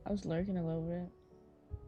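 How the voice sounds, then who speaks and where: Lowish voiced woman streaming games, tired scratchy voice, rambly chat, faint music behind. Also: moderate pace, phone-quality sound. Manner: relaxed, rambling, chatty. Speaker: game streamer. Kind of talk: casual live-stream chat.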